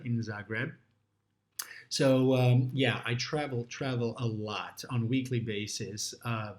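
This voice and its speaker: A man with a Croatian accent gives a lecture to fellow dentists in a middle-pitched voice. He pauses often, filling gaps with "uh" and "um" and restarting his phrases. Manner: hesitant, many fillers and restarts. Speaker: orthodontist lecturer.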